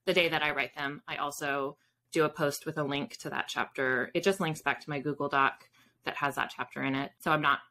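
A woman's voice: sits low, fairly even intonation, a little fast, bright, forceful tone. A woman in her thirties chatting casually as an interview guest on a video call. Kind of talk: casual chat. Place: indoors in a room with bookshelves, on a video call.

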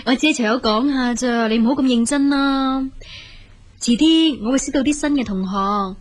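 Woman acting as young younger sister, radio drama, Cantonese, high-pitched, tentative, earnest, grateful, deferential. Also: normal speed, lightly acted. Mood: friendly, modest.

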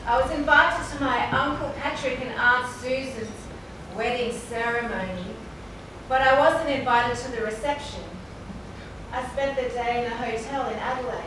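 Actress as distant, high-voiced plain-spoken woman. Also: normal speed, lightly acted. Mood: wistful. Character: Sheena, plain-spoken woman friend and housemate. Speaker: stage actor. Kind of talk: live stage monologue and dialogue. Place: theatre stage before a live audience. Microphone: far camera. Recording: distant, echoey, noisy theatre recording.

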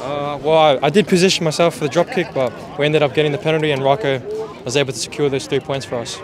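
A teenage rugby player answering outdoors into a handheld mic, composed and articulate. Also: male voice, fairly high pitch, steady tone, fast. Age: teens. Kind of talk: post-game interview. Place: outdoor sports field. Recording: crowd noise outdoors.

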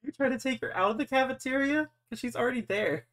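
A game master casually and haltingly narrating an improvised roleplay game over a voice call. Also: high voice, fairly bright tone.

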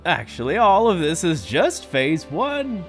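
A man's voice: a little high, wildly swooping pitch, measured pace, faint music behind, full character voice. A man voicing an elderly male park founder who is boastful and chuckles as he talks, in an audio drama. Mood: proud. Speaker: voice actor. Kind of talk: fan audio drama acting.

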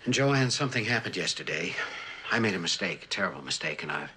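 An actor playing a troubled, remorseful husband in a mid-century television drama, speaking in an earnest, humbled way. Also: male voice, low-pitched, big pitch swings, fast, bright, forceful tone, slight background noise, full character voice, rough recording. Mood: remorseful, pleading.

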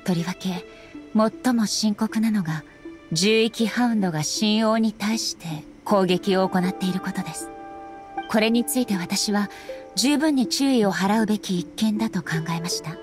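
A woman playing a solemn, dignified female ruler in an anime game, speaking formally and with measured politeness. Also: medium pitch, over music, lightly acted. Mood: firm, formal. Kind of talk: anime game dialogue acting.

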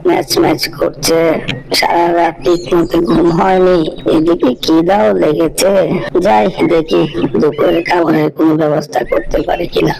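A man's voice: a little high, creaky, rough recording. A man voicing a sly, hungry, scheming male fox in a cartoon, wheedling and grumbling. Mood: sleepy, hungry. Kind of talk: cartoon voice acting.

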